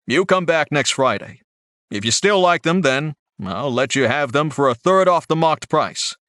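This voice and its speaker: Guy putting on a high brash aussie knife seller, pushy sales talk, dry close sound. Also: animated, normal speed, fairly bright tone, no room sound. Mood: generous. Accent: Australian. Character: brash Australian male blade vendor.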